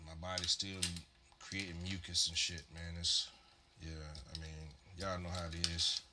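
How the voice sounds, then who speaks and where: A man's voice: low-pitched, flat delivery, normal speed, very noisy. A man from Virginia chatting casually on a live stream, rambling and slightly congested from a cold. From Virginia, USA. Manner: laid-back, rambling, many fillers and "man". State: congested, getting over a cold. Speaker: livestream host building modular synth patches.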